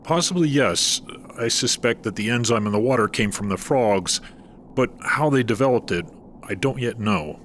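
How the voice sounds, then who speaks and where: An actor playing a careful male scientist who speaks in a measured, clinical way in an audio drama. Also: mid-range voice, a bit bright, slight background noise, lightly acted. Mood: guarded. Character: cool, guarded male scientist studying the marsh.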